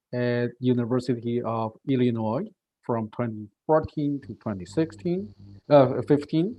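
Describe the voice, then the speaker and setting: A man moderating an academic session, introducing the next speaker at an unhurried pace with some uhs. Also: mid-range voice, mellow, poor audio.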